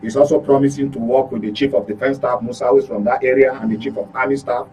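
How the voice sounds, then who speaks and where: A man's voice: medium pitch, steady tone, fast talker, darkish tone, a little hiss or hum, poor audio. A Nigerian man giving unscripted political commentary as a studio guest, repeating words for emphasis. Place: radio studio.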